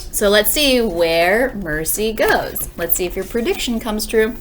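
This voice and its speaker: A woman teacher reading a children's story aloud with dramatic pauses, speaking directly to young listeners. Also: mid-range voice, lively intonation, a little hiss or hum.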